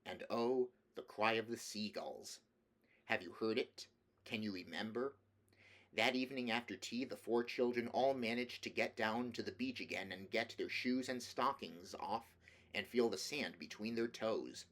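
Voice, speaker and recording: A man's voice: on the low side, moderate pace, fairly bright tone. A man reading a children's novel aloud as scripted storybook narration. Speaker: audiobook reader.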